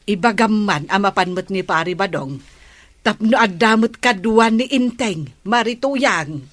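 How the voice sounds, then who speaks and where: A woman's voice: medium pitch, animated, unhurried, a bit bright. A woman with an Ilocano accent playing a nagging, plain-spoken village wife in a radio comedy drama. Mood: curious, eager.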